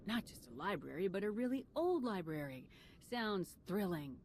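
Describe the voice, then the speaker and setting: A woman's voice: medium pitch, sing-song, moderate pace, darkish tone, a little hiss or hum. A woman playing a composed, capable military commander in a video game, cool and assured. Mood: dry. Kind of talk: cinematic video game acting.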